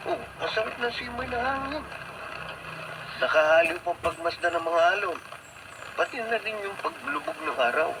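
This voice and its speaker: Radio drama lovesick lad: high-pitched, childish, petulant, with clear background noise. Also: male voice, very animated, normal speed. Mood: content. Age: young adult. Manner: whiny, melodramatic. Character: childish, petulant young man, desperate and heartbroken.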